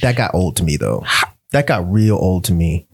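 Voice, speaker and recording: A man's voice: sits low, big pitch swings, moderate pace, creaky, darkish tone, slightly rough. A man in a casual panel chat who talks bluntly, swears freely and states his opinions firmly. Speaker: film review panelist.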